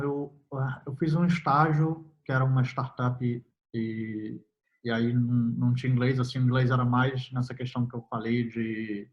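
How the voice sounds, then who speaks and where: A young man, a software developer talking casually in an interview, relaxed and full of fillers, laughing easily. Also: mid-range voice. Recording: slightly muffled audio.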